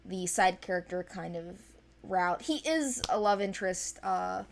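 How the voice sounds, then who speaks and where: A young woman chatting informally and unscripted as she presents characters from an animated series, with a playful, self-deprecating manner. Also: on the low side, a little slow, creaky, slight background noise. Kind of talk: casual unscripted character overview.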